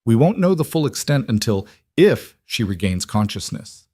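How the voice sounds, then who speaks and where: A man voicing a calm, professional female toxicologist who speaks in a clinical, careful way in an audio story. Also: medium pitch, normal speed, no room sound, clean studio sound.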